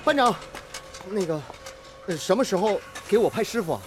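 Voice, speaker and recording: A man's voice: high-pitched, lively intonation, moderate pace, music in the background. An actor playing an eager, polite, slightly hesitant nineteen-year-old male trainee fitter in a radio drama. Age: young adult.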